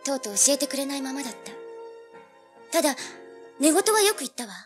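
A brazen, streetwise teenage girl in an audio drama. Her voice is high and pert, blunt and hungry for money. Music plays faintly underneath. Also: big pitch swings, quick pace. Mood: recounting, uneasy.

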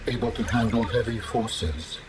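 Man voicing a deep, formal alien intelligence. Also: background noise, lightly acted. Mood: solemn. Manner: measured, formal, emotionless. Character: formal, detached alien architect intelligence, male. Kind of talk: video game character dialogue. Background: game music.

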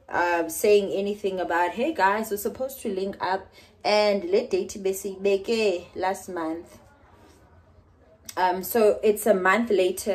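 A woman's voice: medium pitch, rough recording. A young woman with a South African Xhosa accent, rambling casually in a home vlog and switching between languages, recorded on a phone held at arm's length in a small bedroom. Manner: rambling, code-switching, with soft laughs. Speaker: vlogger. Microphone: phone camera at arm's length.

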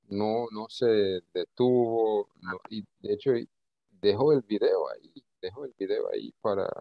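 Slow, very low-voiced male teacher. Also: gravelly, darkish tone, dry recording. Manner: halting, repeats words. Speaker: English teacher. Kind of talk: informal online lesson. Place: indoor video call. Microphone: video call. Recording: dull, lo-fi call audio.

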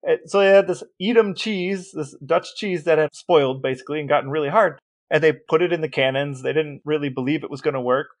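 A man co-hosting a podcast, telling a story in an animated way and acting out quoted voices. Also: on the high side, brisk. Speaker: podcast co-host. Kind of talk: casual chat.

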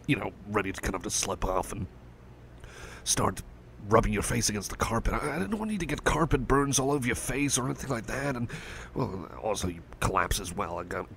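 A man with a low, gravelly voice talks softly and close to the mic. He rambles and stammers as a caring friend in a roleplay. A faint hiss sits under the voice. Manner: stammering, rambling, gentle and reassuring. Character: caring friendly male cyborg buddy. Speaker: ASMR roleplay performer. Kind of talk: soft-spoken ASMR roleplay.

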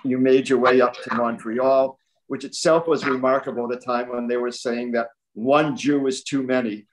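Older man, medium pitch, conversational interviewer, long winding questions, video call. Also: a little hiss or hum, rough recording. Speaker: interviewer, event host. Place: book-lined home library, indoors. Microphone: video call computer mic.

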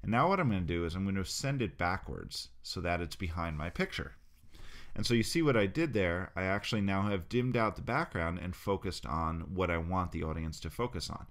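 A man with a low voice talks through a screen-recorded software tutorial. He narrates each step in a relaxed, casual way as he clicks along, with the odd filler. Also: brisk.

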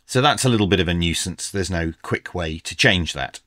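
Low-voiced man presenting a tech review. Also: expressive, a little fast, fairly bright tone, no room sound, clean studio sound. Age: middle-aged. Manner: methodical, explanatory. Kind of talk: instructional product review narration.

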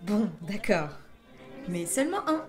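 A woman voicing a hardworking, sensible young waitress, polite but firm, in an amateur animated film dub. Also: mid-range voice, a little slow. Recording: amateur dub over film soundtrack music.

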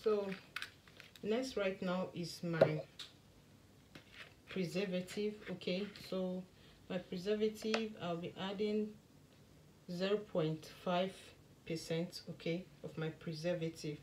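A woman hosting a skincare tutorial, talking through each step in a soft, lowered late-night voice and often saying "okay, so". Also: steady tone, slow pace, long pauses. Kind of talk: casual step-by-step demonstration. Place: indoor work table. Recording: clear background noise with clicks.

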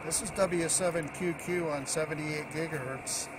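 A man's voice: fairly high pitch, fairly even intonation, normal speed. An older man working as an amateur radio operator, giving call signs and repeating short radio phrases. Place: outdoors on an open hilltop. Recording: noisy outdoor field recording.

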